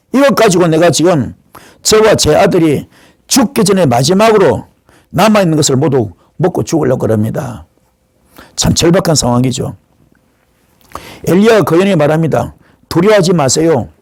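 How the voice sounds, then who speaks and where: Man, pastor, sermon, slightly high pitch, dry sound, narrative retelling, acted-out dialogue, rhetorical questions. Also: a little mellow, breathy edge, no room sound. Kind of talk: sermon retelling Bible stories.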